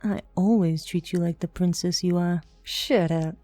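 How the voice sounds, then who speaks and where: Mellow-voiced woman acting a flirty, teasing lover. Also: on the low side, steady tone, normal speed, dry recording, soft background music, natural voice. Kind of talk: audio drama dialogue.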